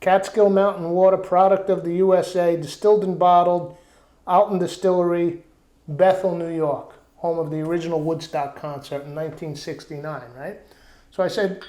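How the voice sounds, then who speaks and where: A man's voice: sits high, steady tone, normal speed, warm tone, roomy sound. A man hosting a casual home cooking vlog, chatting and rambling through stories. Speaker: cooking show host. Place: outdoor backyard kitchen.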